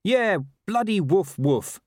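A man's voice: high voice, big pitch swings, takes it slowly, dry recording, clean studio sound, voice pushed up, heavily acted. A man voicing a scruffy, streetwise talking dog in an audiobook, slangy, cynical, indignant and boastful. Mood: sour. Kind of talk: audiobook character voice.

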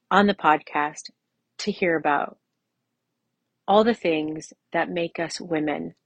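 A woman in her forties speaks in a fairly low voice, recorded dry with hardly any room around it. She hosts a podcast on her own, chatting personally to her listeners. She leans on key phrases, saying them again for emphasis. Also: a bit bright, no room sound. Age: mid-40s. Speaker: podcast host. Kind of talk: solo podcast talk, conversational and personal.

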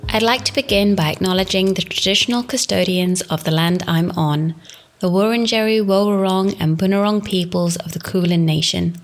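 A woman in her thirties from England, giving a scripted, formal narration for a teaching presentation in a measured way. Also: mid-range voice, steady tone, soft background music. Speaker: education student presenting.

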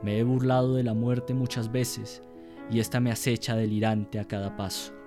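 A man playing a tender, solemn military lover reading out a love letter in a radio drama, measured and intimate. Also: mid-range voice, little pitch movement, over music, natural voice.

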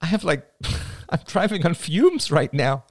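A man's voice: on the high side, sing-song, measured pace, pitched above the performer's own voice, heavily acted. A man voicing a frightened, flippant young woman in an audiobook, breathless, shaky and blurting out words. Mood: panicky, incredulous. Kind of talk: audiobook character dialogue.

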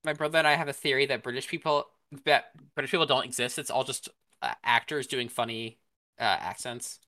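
A young man with a bright, sharp voice fires off dry, sarcastic remarks in easy banter. The sound is close and dry. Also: medium pitch, steady tone, a little fast, no room sound. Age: young adult. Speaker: podcast co-host. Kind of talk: casual chat among friends.

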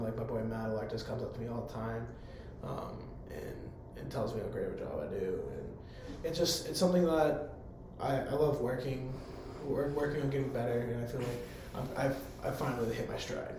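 A hoarse young man, a college public address announcer, answering interview questions in an eager, rambling way full of fillers. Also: medium pitch, a little fast. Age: young adult. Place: room on a college campus. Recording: very echoey room, faint background hum.

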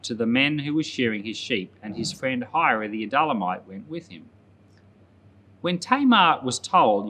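Man reading scripture with lively intonation. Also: medium pitch, a little hiss or hum. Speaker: pastor, Bible podcast host. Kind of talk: scripture reading aloud with informal commentary.

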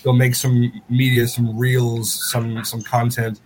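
A man's voice: mid-range voice, completely flat delivery, unhurried, poor audio. Male podcast host with background noise. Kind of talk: casual interview and quiz hosting. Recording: clear background noise, room ambience.

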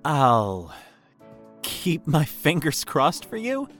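Sweet awkward lovestruck young man, audio drama, pitch jumps around a lot, music under it. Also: wildly swooping pitch, extremely slow, a bit bright, full character voice. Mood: earnest, defensive. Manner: hesitant, earnest. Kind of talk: naturalistic audio drama acting.

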